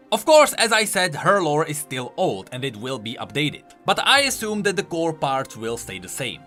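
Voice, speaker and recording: A man narrating a scripted explainer as host, with animated, emphatic commentary. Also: on the high side. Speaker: host of a game lore video. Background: music.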